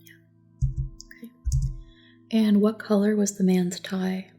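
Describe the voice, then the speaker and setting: A soft, breathy woman gently giving calm, reassuring instructions in an ASMR roleplay, often dropping to a whisper. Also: mid-range voice, slow, faint music behind. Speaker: ASMR roleplayer as a test examiner. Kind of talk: soft-spoken ASMR personal attention roleplay.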